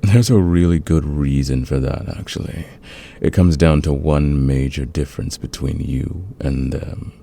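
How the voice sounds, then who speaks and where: A man playing a smug, flirtatious fantasy villain in a romantic ASMR roleplay, teasing and intimate with a husky, gravelly voice. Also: extremely low pitch, fairly even intonation, dark tone, heavily acted. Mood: confiding, smitten. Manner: teasing, intimate, drawn-out confiding delivery. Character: flirtatious, smug male fantasy villain, secretly smitten rival.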